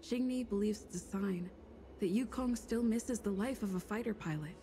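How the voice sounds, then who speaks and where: A woman's voice: medium pitch, moderate pace, dark tone, slight background noise. A woman doing casual video game playthrough commentary, reading on-screen text and thinking aloud. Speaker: gamer reading and reacting.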